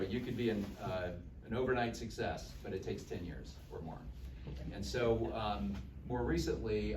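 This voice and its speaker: A man in his fifties from Dallas, Texas, giving a campaign speech, telling his story in a relaxed way with lots of fillers and self-deprecating jokes. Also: lowish voice. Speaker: political candidate. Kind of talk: campaign speech to a local group. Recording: echoey room, mic far off, noisy.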